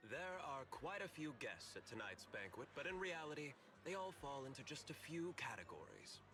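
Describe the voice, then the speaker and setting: Polished, bright-voiced corporate manager, lively intonation. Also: male voice, on the high side, moderate pace, faint music behind. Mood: confident, explaining. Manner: polished, formal, diplomatic. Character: smooth, courteous male corporate manager hosting a banquet. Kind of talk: scripted video game voice acting.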